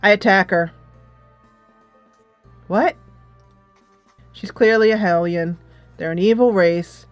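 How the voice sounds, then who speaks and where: A woman's voice: medium pitch, takes it slowly, pauses often, no echo, soft background music, lightly acted. A woman voicing a righteous, zealous paladin from a fantasy game, reading dialogue aloud in a firm, declarative way. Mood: zealous, insistent.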